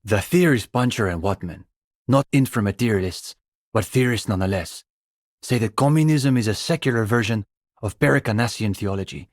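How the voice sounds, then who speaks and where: A man's voice: fairly low pitch, fairly quick, no room sound, studio quality, natural voice. An actor playing an earnest, condescending young male student intellectual in a video game, speaking in an articulate, formal and wry manner. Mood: explanatory. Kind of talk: scripted video game character dialogue.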